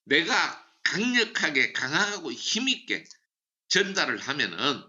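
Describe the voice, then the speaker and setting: A man's voice: on the high side, big pitch swings, a little slow, bright, forceful tone, muffled recording. A man giving a motivational sales training lecture, emphatic and repeating key slogans. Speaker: seminar speaker for a sales business.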